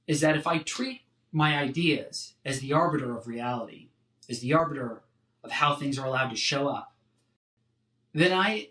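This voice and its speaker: A man delivering a scripted academic lecture in a measured, articulate, emphatic way, like a professor. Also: mid-range voice, pushed and bright. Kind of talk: scripted philosophy lecture narration. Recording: roomy, echoing sound.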